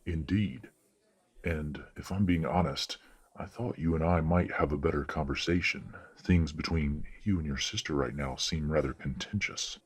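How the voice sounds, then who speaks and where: A stern werewolf patriarch, acted by a man for an audio drama. His voice is extremely low and husky. He speaks formally and with measured authority, a courteous father set on tradition. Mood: civil, measured. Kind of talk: audio roleplay drama acting.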